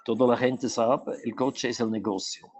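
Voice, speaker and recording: Halting Swiss man speaking Portuguese-tinged Spanish. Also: mid-range voice, fairly even intonation, a little mellow. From Switzerland. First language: not Spanish; speaks Spanish mixed with Portuguese. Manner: halting, many restarts and fillers, mixes in Portuguese words. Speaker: urban planner and mobility specialist, conference speaker. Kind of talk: remote conference presentation.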